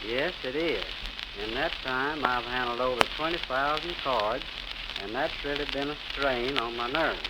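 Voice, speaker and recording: An American man giving plain, measured, scripted answers as a guest on an old radio interview. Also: medium pitch. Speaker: postal clerk interview guest. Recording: scratchy, hissing old 78 rpm radio disc.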